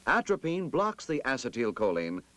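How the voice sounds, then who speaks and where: A man narrating a scripted 1960s military instructional film in a formal, emphatic, measured manner. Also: medium pitch, wildly swooping pitch, fairly bright tone, no echo.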